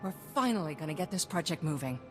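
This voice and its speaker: Firm bossy lady governor for a video game, lowish voice, big echo, music behind. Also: animated, fast. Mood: satisfied. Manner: firm, commanding, oratorical. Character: stern, driven woman governor of a reconstruction project. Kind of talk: scripted video game acting. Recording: long reverb, music underneath.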